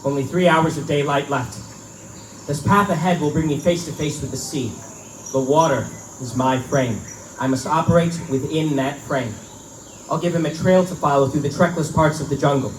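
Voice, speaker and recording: A man's voice: fairly high pitch, moderate pace, lightly acted. Man playing an earnest hunted hunter, echoey stage. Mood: tense resolve. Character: uneasy, defiant big-game hunter turned hunted prey. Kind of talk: live theatrical horror drama. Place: live performance in a bookstore. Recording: distant, echoey live room recording with noise.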